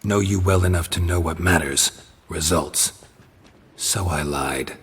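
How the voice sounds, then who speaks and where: Very deep, gruff monster hunter. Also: male voice, a little slow, big-room sound, crowd noise. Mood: blunt. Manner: terse, clipped, deadpan. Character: gruff, stoic, blunt male monster hunter. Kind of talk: video game voice acting.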